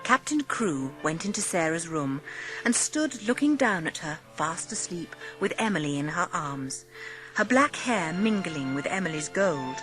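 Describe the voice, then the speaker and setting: A woman's voice: on the low side, animated, measured pace, poor audio. A woman narrating a scripted storybook for a dramatised audiobook. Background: music.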